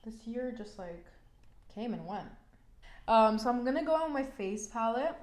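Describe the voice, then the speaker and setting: A woman's voice: fairly high pitch, lively intonation, moderate pace, darkish tone. A young woman talks through her makeup routine in a loose, frank way, her voice stuffy and congested from a cold. She leans on "like" and "you know" as she goes. The sound is echoey, as if recorded in a bare room at home. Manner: chatty, frank, many "like" fillers. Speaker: beauty vlogger. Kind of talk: casual chat while doing makeup.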